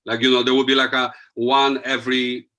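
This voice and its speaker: A man's voice: medium pitch, little pitch movement, a little fast, bright, forceful tone. Polish man rambling casually. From Poland. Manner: loose, filler-heavy, thinking aloud. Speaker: DJ guest. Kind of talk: casual interview chat.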